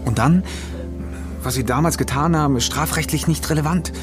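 An actor playing a serious middle-aged man in a naturalistic audio drama, quietly plotting payback with restrained, measured speech. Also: a little high, music in the background, lightly acted. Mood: bitter, determined.

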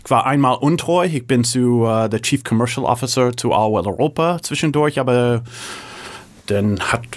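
A middle-aged American man talking in accented, halting German as an interview guest. He searches for words, fills gaps with "ähm", and corrects himself as he goes, in an even, mid-range voice. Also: medium pitch. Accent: American English. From San Francisco, USA. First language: English. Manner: halting German with frequent fillers and self-corrections. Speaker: guest, tech executive. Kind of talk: conversational interview answers.

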